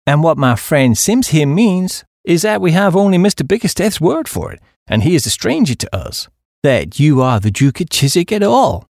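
A man's voice: fairly high pitch, big pitch swings, normal speed, vocal fry, a little mellow, no echo, clean studio sound. A man voicing a hearty American businessman in an audiobook, wordy and formal in a businesslike way. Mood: explanatory.

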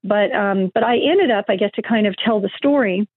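A woman's voice: medium pitch, a little fast, no room sound. A middle-aged woman calls in as an interview guest, and her voice comes through a thin, tinny phone line. The sound is dry, with no room around it. She rambles, stopping often to hunt for words and start over. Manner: rambling, many fillers and restarts. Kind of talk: conversational interview.

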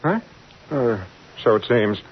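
An actor playing a smitten, evasive married doctor in a 1940s radio drama, polite, hesitant and prone to bluster. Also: male voice, mid-range voice, animated, takes it slowly, slightly rough. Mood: flustered, indignant. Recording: muffled, hissy 1940s radio recording.

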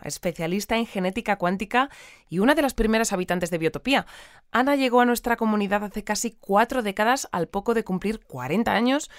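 Audio fiction: actress as poised female news presenter, crisp clear voice, dry close studio sound, deadpan. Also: medium pitch, no room sound, close to an everyday voice. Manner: deadpan, measured, polite. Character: composed, deadpan female community radio newsreader. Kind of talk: scripted radio news narration.

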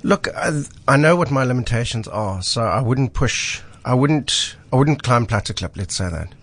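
A man, a mountain guide talking on a radio show in studio, his voice husky from a cold, relaxed with frequent ums. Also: mid-range voice, normal speed. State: husky voice from illness. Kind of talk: casual radio chat.

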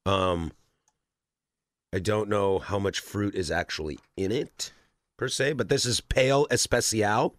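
A man's voice: fairly low pitch, a little slow, dry recording. A man co-hosting a casual beer-tasting chat, hesitant and stammering but playful. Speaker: podcast co-host.

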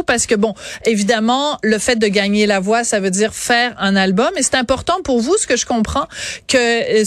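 Québécois woman hosting radio, chatty, higher voice, dry close mic. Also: dry recording. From Quebec. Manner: warm, chatty, with frequent fillers. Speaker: radio show host. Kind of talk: casual radio interview.

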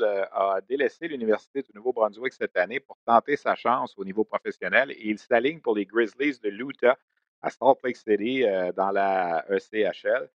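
A man hosts a hockey radio segment in a low voice with plenty of fillers. The sound is dry and slightly muffled. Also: no echo. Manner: conversational, many fillers. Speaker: sports radio host. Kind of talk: hockey news segment and interview.

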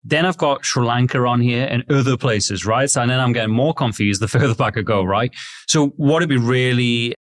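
A man's voice: mid-range voice, a little fast. A man hosting a podcast interview in a friendly, rambling way with lots of fillers. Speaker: podcast host. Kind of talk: casual interview chat.

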